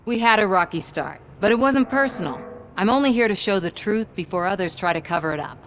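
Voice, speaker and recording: A woman's voice: mid-range voice, expressive, a little fast, a little hiss or hum, natural voice. A woman playing a persistent, self-assured television reporter in a video game, speaking in a probing and persuasive way. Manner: smooth-talking, probing, persuasive. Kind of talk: video game cutscene acting.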